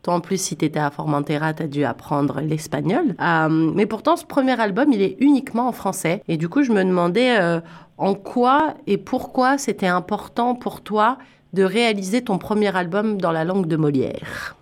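A woman's voice: mid-range voice, normal speed. A woman hosting a conversational radio interview, chatty and inclined to explain her thoughts as she goes. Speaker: radio host and music interviewer.

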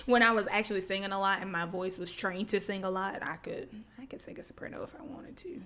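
A young woman chats on a podcast in a slightly hoarse voice at a mid pitch, breaking into laughter as she tells her stories. A faint hiss runs under the recording. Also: quick pace, fairly bright tone. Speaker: podcast co-host. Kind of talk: casual chat.

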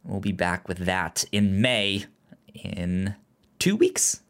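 A man hosting a podcast in casual chat, speaking haltingly and often repeating the starts of words. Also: low-pitched, a bit bright. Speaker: podcast host.

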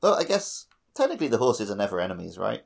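A man's voice: on the low side, huge pitch swings, fast talker, creaky. A man co-hosting a casual gaming podcast, rambling off into dry asides. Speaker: podcast co-host.

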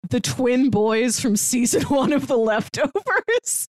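A woman's voice: high voice, very animated, slow, a little mellow, no echo, studio quality. A woman co-hosting a podcast, talking casually and chattily as she reviews stories. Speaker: podcast co-host.

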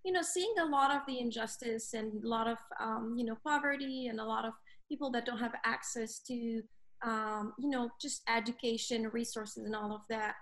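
A woman's voice: a little high, normal speed, a bit bright. A woman in her thirties from Lebanon, a clinical coordinator and podcast guest, speaking earnestly with many fillers. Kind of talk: casual podcast interview.

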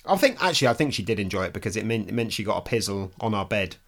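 A man's voice: on the low side, very animated, rapid-fire, a bit bright. A man co-hosting a casual podcast, joking, self-mocking and laughing often. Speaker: podcast co-host.

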